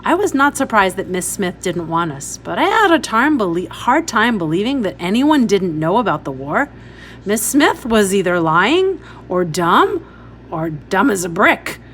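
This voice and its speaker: A woman's voice: mid-range voice, sing-song, fairly bright tone, slight background noise. A woman reading a story aloud expressively, as a teacher would for pupils. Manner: instructive, pausing to point out details.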